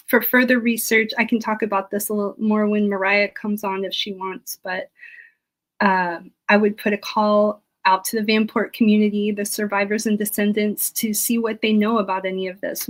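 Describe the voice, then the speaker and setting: A woman's voice: mid-range voice, poor audio. An American woman giving an informal research presentation as a guest historian.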